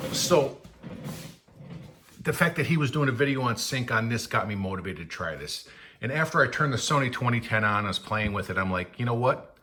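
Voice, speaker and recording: A man's voice: on the low side, bright, forceful tone, a little hiss or hum. A man giving a casual, unscripted gear demonstration as a hobbyist, blunt and opinionated in manner. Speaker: radio hobbyist demonstrating equipment.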